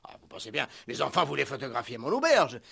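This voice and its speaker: An actor playing a chatty, eager-to-please innkeeper in a 1960s French radio play, stammering and repeating himself. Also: male voice, medium pitch, fast talker, creaky, husky, full character voice. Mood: flustered. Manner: stammering, repetitive, excitable, chuckling nervously. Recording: 1960s radio drama recording.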